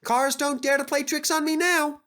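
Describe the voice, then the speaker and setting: Cheeky young train engine, way up high, bright n bossy, storybook style. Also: male voice, steady tone, moderate pace. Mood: cocky, bossy. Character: cheeky, cocky young male tank engine, easily flustered.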